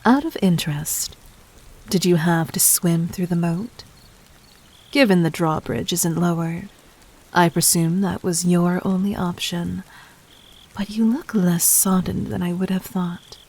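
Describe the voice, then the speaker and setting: A woman acts out a demon queen for an audio roleplay, her voice on the low side and very unhurried. She addresses the listener formally, gently domineering and teasing, with breathy touches and silky condescension. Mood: intrigued.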